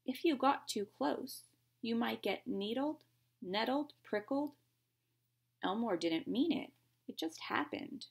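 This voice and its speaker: A woman's voice: on the high side, animated, takes it slowly, vocal fry, breathy edge. A woman reading a picture book aloud to young children like a teacher, expressively, with simple explanations and questions along the way.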